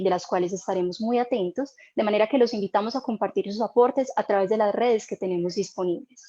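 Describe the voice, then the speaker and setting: A woman moderating an online panel, reading a formal introduction in a measured way. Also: medium pitch, warm tone, dull audio.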